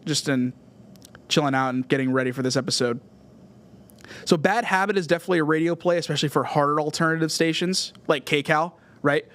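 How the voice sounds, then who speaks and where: Higher-voiced man hosting a music podcast. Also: fairly bright tone. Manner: enthusiastic, often tags points with "right?". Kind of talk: album review monologue, semi-prepared commentary.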